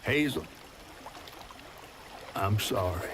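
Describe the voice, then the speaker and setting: A man playing a folksy old talking catfish from the American South, who tells stories in a drawn-out, gravelly drawl. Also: fairly low pitch, lively intonation, background noise. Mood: concerned. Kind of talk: dramatic storybook narration and game dialogue. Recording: voice over music.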